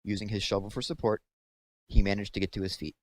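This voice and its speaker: A man's voice: fairly low pitch, little pitch movement, quick pace, rough recording. A man narrating a children's novel as a read-aloud, in scripted storytelling style.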